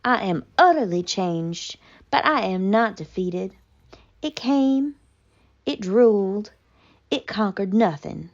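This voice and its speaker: A woman voicing a haughty, melodramatic, traumatized female cat in a pompous, mock-tragic theatrical monologue with deliberate pauses. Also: medium pitch, highs cut off. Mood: haughty, resolute.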